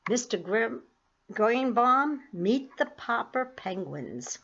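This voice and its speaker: A woman's voice: fairly high pitch, sing-song, slow pace, gravelly, fairly bright tone, husky, highs cut off, voice pushed up, exaggerated voice. A woman reading the part of a polite, earnest middle-aged man with a troupe of penguins, in a mild, courteous manner.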